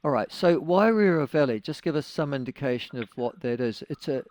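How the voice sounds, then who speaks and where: A man's voice: medium pitch, animated, brisk, a little mellow, dry recording. A man hosting a talk radio interview, wry and conversational, with leading questions. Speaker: radio host.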